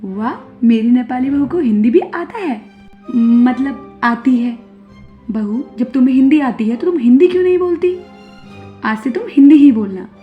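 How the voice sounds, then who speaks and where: A woman playing a suspicious, dramatic middle-aged mother-in-law in an animated moral story, exclaiming and complaining. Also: sits high, normal speed. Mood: accepting, bossy. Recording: dull lo-fi audio, music behind.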